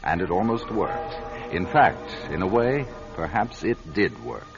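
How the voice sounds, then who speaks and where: An earnest, driven newsman who tells his story in a low voice that rises and falls with urgency. The sound is a muffled old radio broadcast, with music running underneath. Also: big pitch swings, measured pace, vocal fry, heavily acted. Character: driven male network journalist narrating his find. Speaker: narrator. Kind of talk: 1970s radio drama narration.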